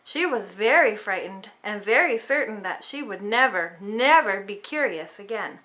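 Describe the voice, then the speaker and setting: A woman reading a children's storybook aloud in an animated, dramatic way for small children. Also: medium pitch, moderate pace, low-quality recording. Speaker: storybook reader. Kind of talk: expressive children's read-aloud narration.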